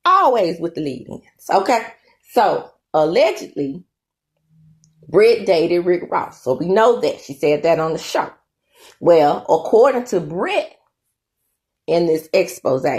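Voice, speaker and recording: A woman's voice: on the low side, big pitch swings, a little slow, pauses often. A woman hosting her own commentary channel, giving her opinions in a chatty, emphatic way and tagging her points with "okay?".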